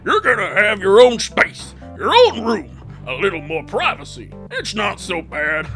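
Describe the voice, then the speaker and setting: A man's voice: high-pitched, big pitch swings, a little slow, a bit bright, soft background music, full character voice. Man putting on a hearty, encouraging cartoon dad. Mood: hearty encouragement. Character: firm, upbeat, kindly cartoon dad.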